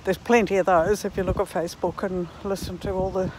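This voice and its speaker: A woman in her sixties giving a reflective, halting monologue to herself and her viewers as she walks outdoors. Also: fairly low pitch, fairly even intonation, noisy background. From Netherlands and New Zealand. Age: 60s. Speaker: vlogger. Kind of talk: reflective walking vlog monologue. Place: outdoors, walking in a park.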